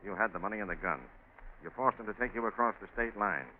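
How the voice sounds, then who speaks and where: An American actor playing a tough, shrewd police lieutenant, clipped, stern and sardonic, in a 1940s radio crime drama. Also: male voice, lowish voice, quick pace, natural voice. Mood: sardonic, accusing. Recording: noisy narrow-band 1940s radio.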